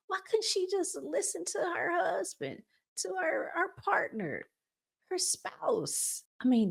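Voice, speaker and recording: Emphatic woman talking slowly, lively pitch swings. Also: gravelly, husky. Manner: emphatic, preachy, with rhetorical questions; informal, colloquial phrasing. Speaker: host of a relationship podcast. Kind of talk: conversational opinion talk to viewers.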